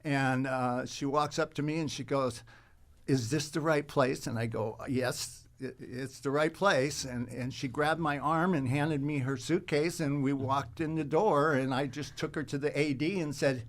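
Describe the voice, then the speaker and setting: A man speaking as an interview guest, telling casual stories in a halting, rambling way. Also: on the high side, steady tone. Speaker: guest, actor.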